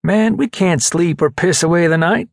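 A man voicing brash, rowdy young Navy SEALs with loud, emphatic banter in an audiobook story. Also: high-pitched, moderate pace, creaky, dry recording, clean studio sound, voice pushed up. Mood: rowdy, eager.

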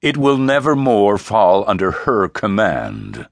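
A man's voice: fairly low pitch, wildly swooping pitch, no room sound, clean studio sound, pitched above the performer's own voice, full character voice. Man playing a cold, stern old king. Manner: slow, measured, commanding.

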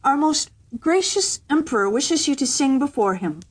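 A woman's voice: high voice, animated, moderate pace, pitched above the performer's own voice. A woman voicing a small, humble kitchen girl in a storybook reading, speaking eagerly and sweetly. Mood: earnest.

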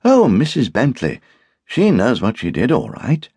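A man voicing a brisk, opinionated English wife and hostess in an audiobook, with an arch, emphatic manner. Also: medium pitch, huge pitch swings, darkish tone, slightly rough, no echo, voice pushed up, heavily acted. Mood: scornful.

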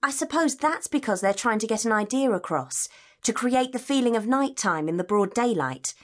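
A woman's voice: fairly high pitch, animated, normal speed, natural voice. A woman playing a curious, observant student in a scripted educational audio drama, speaking in an eager, inquisitive way. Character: curious, observant female student on a guided tour.

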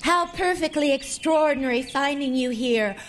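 A breezy young American heiress, played by a woman, with a high-pitched voice. She is effusive, teasing and sure of herself, in the style of a comic radio play. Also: normal speed, a little hiss or hum, lightly acted. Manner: effusive, teasing, self-assured. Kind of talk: comic audio drama acting.

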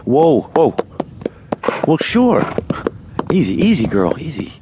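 An actor playing a confident, possessive young rancher in a Western audio drama. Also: male voice, a little high, wildly swooping pitch, slow, creaky, background noise, full character voice. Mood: insistent.

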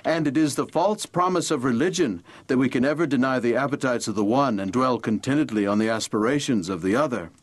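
Earnest brooding Victorian gentleman doctor played by an actor. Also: medium pitch, a little fast, hardly any pauses, close to an everyday voice. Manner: eloquent, formal, earnest. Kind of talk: audio drama, journal narration and dialogue.

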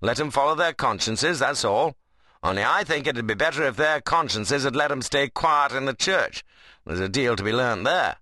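Man acting a forceful, stern country workman. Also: medium pitch, dry recording, voice pushed up. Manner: blunt, firm, earnest rustic dialect. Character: stern, plain-spoken, principled young workman.

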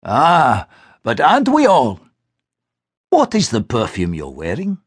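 Man playing a jovial old gentleman. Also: medium pitch, huge pitch swings, husky, dry recording, clean studio sound, exaggerated voice. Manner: warm-hearted, expansive, drawn-out. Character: jovial elderly gentleman, fond and hearty.

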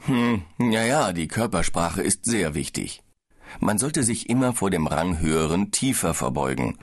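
A man in a scripted audiobook dialogue, calmly explaining business manners abroad. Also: low voice, measured pace. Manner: calm, explaining, slightly tired. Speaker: business traveller in a language-course dialogue.

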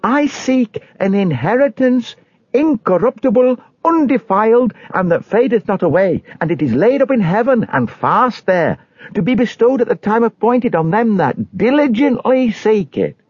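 A man's voice: high-pitched, sing-song, normal speed, breathy edge, dry recording, highs cut off, voice pushed up, full character voice. A man voicing an earnest pilgrim in a storybook, stammering, emotional and imploring. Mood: fervent. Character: anguished, pleading pilgrim man, earnest and fervent.

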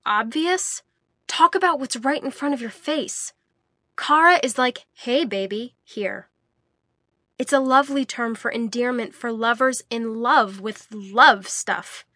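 Woman narrator doing an indignant, jealous young male protector, slightly high and bright. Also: measured pace, dry recording, studio quality. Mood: insistent. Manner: indignant, insistent.

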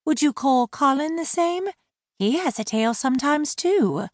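A woman's voice: high voice, lively intonation, a little slow, no echo, studio quality, voice pushed up. A woman voicing a proud, prickly adult mermaid who insists on being treated with respect. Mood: defiant. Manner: indignant, crisp.

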